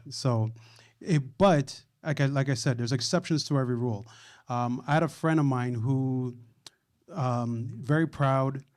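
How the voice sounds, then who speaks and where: A man's voice: medium pitch, a little mellow. A man on a live panel, reflectively telling a personal story and often restarting his phrases.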